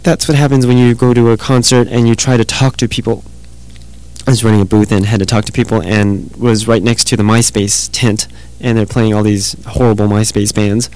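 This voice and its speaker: A man hosts a music radio show in a loose, rambling chat between tracks. His voice is worn and hoarse, and he keeps a flat, level tone with little rise and fall. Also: fairly low pitch, low-quality recording. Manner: rambling, easygoing. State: losing his voice.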